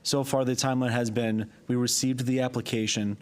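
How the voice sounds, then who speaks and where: Halting male town staffer. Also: mid-range voice, flat delivery, a little mellow. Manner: halting, with frequent ums and self-corrections. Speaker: town wetlands staff member. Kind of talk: explaining fees and procedure at a public meeting. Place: town hall meeting room.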